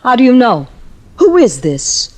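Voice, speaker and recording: An actress playing an earnest, uneasy young woman in an old-time radio mystery, urgent and halting when alarmed. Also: a little high, wildly swooping pitch, darkish tone, full character voice. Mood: anxious, determined. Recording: vintage 1950s radio drama recording.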